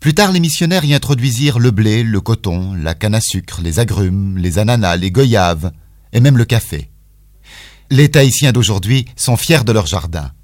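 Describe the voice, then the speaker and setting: A male reporter reading scripted documentary narration in a measured, even way. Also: on the low side, dry recording, clean studio sound.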